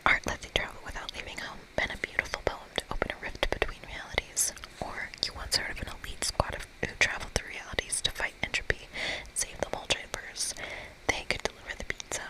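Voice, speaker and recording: ASMR host, fully whispered and breathy, reading game dialogue aloud. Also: brisk, poor audio.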